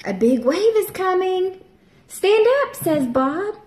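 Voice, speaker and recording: Slow, lively woman teacher reading aloud. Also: very high voice. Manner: slow, expressive read-aloud, bright voices for the characters. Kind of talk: reading a picture book aloud to young children. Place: home room, seated at a table with a child. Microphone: camera at table distance. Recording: echoey room.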